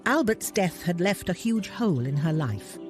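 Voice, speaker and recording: A woman's voice: fairly low pitch, big pitch swings, normal speed. A woman delivering scripted documentary narration. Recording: music clearly behind the voice.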